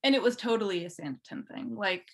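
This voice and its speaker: Female podcast guest, informal and chatty, relaxed personal storytelling in everyday conversational language. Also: mid-range voice, quick pace. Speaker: podcast guest, fan fiction writer. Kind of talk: casual chat, telling personal story.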